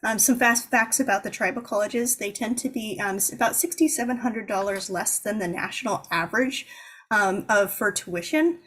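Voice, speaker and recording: A woman giving a webinar presentation, walking through slides with a plain, mid-pitched voice. She hesitates constantly, filling gaps with "um" as she lays out the facts. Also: fairly bright tone, husky. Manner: halting, lots of ums, informative. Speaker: presenter for the organizing fund.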